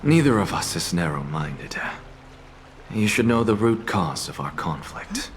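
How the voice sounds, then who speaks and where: Casting: aloof, sardonic professor for a fantasy game, low voice, pompous and measured. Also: male voice, big pitch swings, background noise. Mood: wry, defiant. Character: proud male scholar professor, aloof, sardonic, defiant. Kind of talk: dramatic video game acting.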